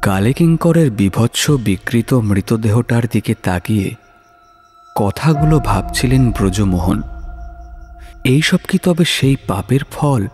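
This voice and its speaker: A man narrating a scripted horror story in a dramatic, measured, suspenseful manner. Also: medium pitch, lively intonation, a little mellow. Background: music.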